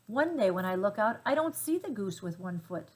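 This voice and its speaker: A woman reading a children's storybook aloud with gentle, deliberate phrasing and dramatic emphasis. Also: mid-range voice, sing-song, breathy edge. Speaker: storybook reader.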